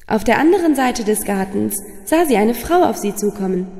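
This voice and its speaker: A woman's voice: a little high, lively intonation, moderate pace, warm tone. A woman storyteller reading a scripted fairy tale for children. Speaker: storyteller, narrator. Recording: long reverb, music underneath. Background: music.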